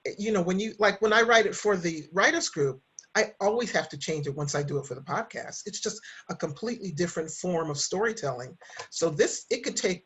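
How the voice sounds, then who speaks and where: A woman's voice: lowish voice, lively intonation, brisk, a bit bright, husky. A woman podcaster and writer talking casually, in a conversational, explanatory way. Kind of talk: casual chat.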